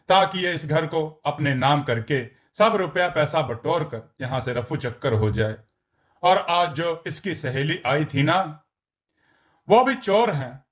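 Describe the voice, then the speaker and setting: An earnest adult son in a storybook drama, voiced by a man narrator. His voice is fairly high and his manner explanatory and reproachful.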